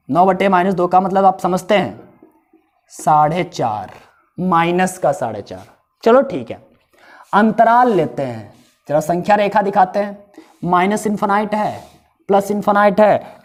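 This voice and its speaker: A man teaching a math lesson with a hoarse, sore throat. He works through each step, repeats values, and puts questions to his students. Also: sits high, a little mellow.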